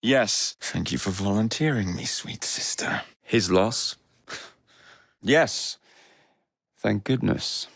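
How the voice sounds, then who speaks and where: A man's voice: on the low side, slow, creaky, long pauses, fairly bright tone, dry recording, clean studio sound, exaggerated voice. A man playing a cocky young Victorian London gang leader in a video game, with an English accent and a cheeky, wry manner. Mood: dry.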